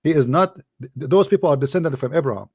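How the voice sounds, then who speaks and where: A man's voice: a little high, fast talker, husky, dry recording. A man hosting a heated call-in debate, his voice tired and dry, combative and repeating himself. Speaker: debate show host.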